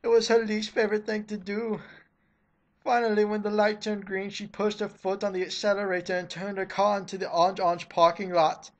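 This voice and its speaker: A mid-pitched voice performs a mock dramatic reading of fan fiction. The delivery is theatrical and put-upon, with an exasperated edge. Sarcastic commentary is slipped in between the narrated sentences. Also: level tone, fairly quick, lo-fi sound. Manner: theatrical, exasperated, breaks off for snarky remarks.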